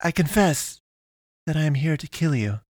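A man voicing a calm, otherworldly young girl preacher with an accented voice and stilted, archaic, formal phrasing in an audiobook story. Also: on the high side, normal speed, no echo, studio quality, pitched above the performer's own voice. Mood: earnest, probing. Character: otherworldly young girl pastoress, calm, odd, gun-toting.

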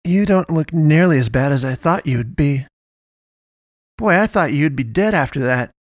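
A woman's voice: deep voice, expressive, unhurried, no room sound, studio quality, voice pushed up, full character voice. A man reading a story aloud, voicing a panicky, foul-mouthed young woman who stammers and swears. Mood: bewildered.

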